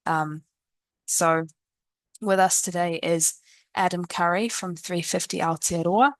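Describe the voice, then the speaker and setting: A woman's voice: lowish voice, steady tone, slow pace, no room sound. A woman from New Zealand facilitating a webinar panel, hesitant, with many ums and restarts.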